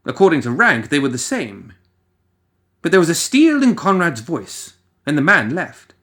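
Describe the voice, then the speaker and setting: A man reading a story as a dramatic narrator, musing theatrically with deliberate pauses and rhetorical asides. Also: on the high side, very animated, bright, forceful tone. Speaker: audio fiction reader.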